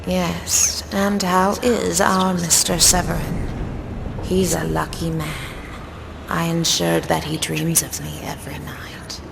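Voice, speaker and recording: A woman's voice: lowish voice, little pitch movement, slow pace, music in the background, full character voice. An actress playing a smug, scheming female vampire villain in a video game, with mocking, theatrical poise. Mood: silky, taunting. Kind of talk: video game cutscene acting. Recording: clear noise and ambience behind.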